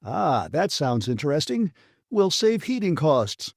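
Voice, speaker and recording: A man's voice: fairly high pitch, big pitch swings, unhurried, creaky, no room sound, studio quality, pitched above the performer's own voice, full character voice. A man voicing a blustering, self-important male station director in an audiobook, with a pompous, badgering, officious manner. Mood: stubborn, snappish. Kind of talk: dramatised audiobook reading.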